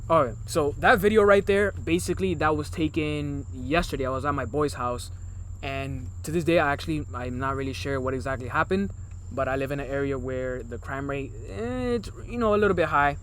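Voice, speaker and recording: Young podcast co-host from Miami, early twenties, loose and rambling, light noise underneath. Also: male voice, on the high side, brisk. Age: early 20s. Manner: loose, rambling, addresses viewers as guys. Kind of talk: casual podcast chat.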